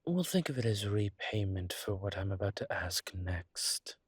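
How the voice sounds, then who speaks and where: A man playing a courteous, wry vampire with formal, hesitant phrasing and a husky voice in an audio roleplay. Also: fairly low pitch, expressive, measured pace, dry recording. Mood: courteous, coaxing. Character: courteous, wry adult male vampire wary of daylight. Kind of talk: one-sided audio roleplay acting.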